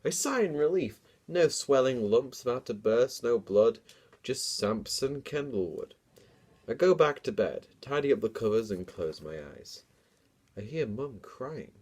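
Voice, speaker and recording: A man's voice: mid-range voice, animated, measured pace, a little mellow. A young man doing a dramatic reading of a story, breaking into casual, self-mocking asides. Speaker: comedian reading own childhood book.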